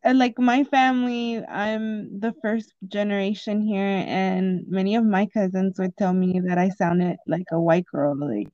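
A young woman hosting a podcast, asking questions in an encouraging, easygoing tone. Her pitch is medium. The audio is dry and muffled. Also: fairly even intonation, unhurried, few pauses, no echo. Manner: warm, encouraging, asks questions. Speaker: podcast host and interviewer. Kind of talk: casual interview chat.

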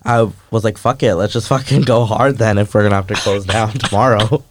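A man's voice: fairly low pitch, steady tone, a little fast, noisy background. A man co-hosting a casual chat, joking crudely and swearing freely. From Canadian West Coast. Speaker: podcast co-host.

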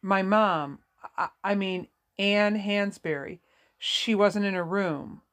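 A woman voicing a fragile, anxious adult daughter in an audiobook, with a hesitant, halting delivery. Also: mid-range voice, gravelly, close to an everyday voice. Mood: near tears. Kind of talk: audiobook character dialogue.

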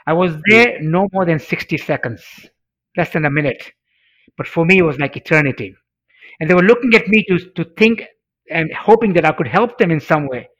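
A man's voice: fairly high pitch, lively intonation, brisk, a bit bright. A middle-aged man from Tanzania, a native Swahili speaker, telling a personal story in an interview in an earnest, emphatic way, repeating phrases for effect. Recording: dull, lo-fi sound.

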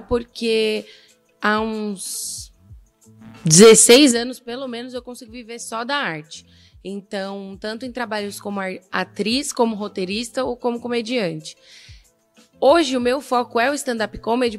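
Joking woman comedian, slightly gravelly. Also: a little high. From Brazil. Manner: joking, reflective. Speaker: comedian guest. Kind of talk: casual podcast chat.

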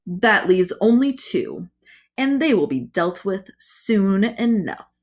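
A woman voicing a cruel male fire god who speaks slowly and gloats, in an audiobook reading. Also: big pitch swings. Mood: gloating menace. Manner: slow, booming, gloating. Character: menacing fiery male god, commanding and cruel. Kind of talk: audiobook character voice.

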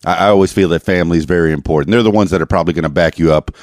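A man's voice: deep voice, very fast, darkish tone, dry recording, studio quality. A man hosting a podcast, interviewing a caller in a laid-back, joking way with lots of fillers. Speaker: podcast host. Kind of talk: casual interview.

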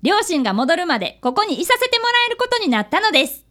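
A woman plays an eager young boy in a radio drama. The voice is very high and lively, swinging widely in pitch. He is courteous and keen to help, like a junior detective on his best behaviour. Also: quick pace, exaggerated voice. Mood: eager, polite. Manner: polite, bright and earnest. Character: eager, polite fifth-grade boy, an apprentice detective. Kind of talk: audio drama acting.

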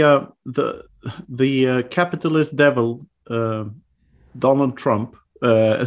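A man chatting as a podcast guest, stopping and restarting often, padding his thoughts with uh and um. His voice sits at an ordinary middle pitch, and he laughs lightly at his own points. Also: creaky. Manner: halting, many fillers and restarts, laughs at own jokes. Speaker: guest author. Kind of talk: casual podcast chat.

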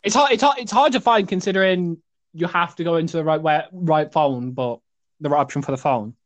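Hesitant eighteen-year-old lad. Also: male voice, fairly high pitch, fairly quick, no room sound. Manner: hesitant, restarts his sentences. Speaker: podcast co-host. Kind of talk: casual podcast chat.

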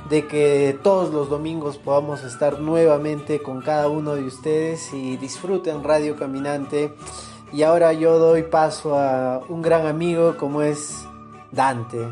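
Male podcast host, Peruvian accent, fairly high and very soft-edged tone, halting conversational delivery over music.

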